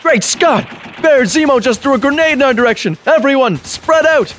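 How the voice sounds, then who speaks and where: An upright, earnest American hero, voiced by a man, fond of old-timey exclamations. The pitch sits high and leaps around with every alarm. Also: very animated, a little fast, slight background noise. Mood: urgent. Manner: square-jawed, exclaiming, old-fashioned hero talk. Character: earnest heroic American male soldier captain. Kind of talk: comedy sketch voice acting.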